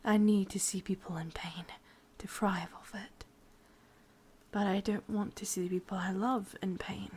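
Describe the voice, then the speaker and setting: A woman with an English accent voicing a possessive, sadistic yandere witch in breathy, close-up whispered ASMR roleplay. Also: mid-range voice, fairly even intonation, a little mellow. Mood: coolly sadistic. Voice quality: breathy, husky. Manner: breathy whispering, slow intimate delivery. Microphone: close microphone.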